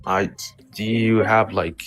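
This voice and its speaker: Low-voiced man, halting casual debater. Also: fairly even intonation, music in the background. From Somali background. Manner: halting, heavy use of "like" and "you know". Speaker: debate participant.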